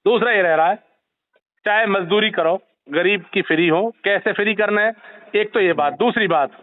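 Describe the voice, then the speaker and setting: A man speaking insistently and moralising in a confrontational phone conversation, holding the floor. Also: very high-pitched. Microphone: phone line. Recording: narrow tinny phone call recording.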